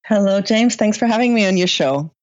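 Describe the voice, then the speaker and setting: A woman's voice: medium pitch, expressive, fairly quick, lo-fi sound. A woman in her fifties from Israel with a slight Israeli accent, a podcast guest who tells her story reflectively, with restarts and fillers. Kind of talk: podcast interview.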